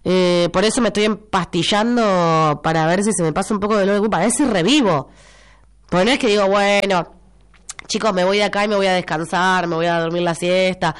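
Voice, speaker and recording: A woman's voice: lowish voice, clean tone. A woman in her twenties with an Argentine accent, a stuffy nose and a cough, chatting casually on morning radio and reading out listener messages, repeating phrases for emphasis. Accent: Argentine Spanish. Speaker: radio host. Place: radio studio.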